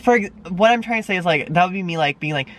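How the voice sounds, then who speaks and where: A man's voice: high-pitched, animated, fast talker, a bit bright, low-quality recording. A young man chatting casually with a friend in a parked car, full of fillers and supportive. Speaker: friend and co-host. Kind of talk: casual chat.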